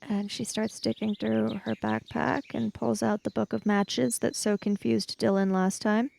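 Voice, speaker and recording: A woman's voice: mid-range voice, flat delivery, unhurried, creaky, a little mellow, slight background noise. A woman playing a tabletop roleplaying game, talking casually in character and improvising.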